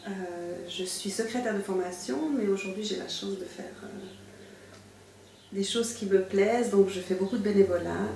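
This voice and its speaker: A woman's voice: medium pitch, moderate pace, vocal fry, warm tone. A woman in her fifties introducing herself and speaking in a testimonial interview. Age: 50s. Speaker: volunteer, trained secretary. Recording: roomy, distant mic, faint noise.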